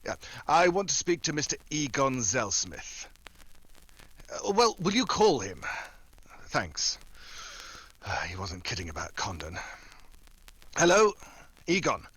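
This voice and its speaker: An actor playing a wry, tough American secret agent in an old-time style radio drama. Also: male voice, mid-range voice, sing-song, slow pace, long pauses, a bit bright, husky, dry recording, full character voice, rough recording. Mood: alarmed, urgent. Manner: wry, sardonic.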